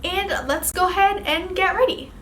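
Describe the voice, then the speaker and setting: A woman's voice: very high-pitched, moderate pace, reverberant, background noise. A young woman from the Philippines with a Filipino accent, chatting casually through a makeup vlog, full of filler words. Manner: rambling, casual, lots of "like" fillers. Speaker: beauty vlogger.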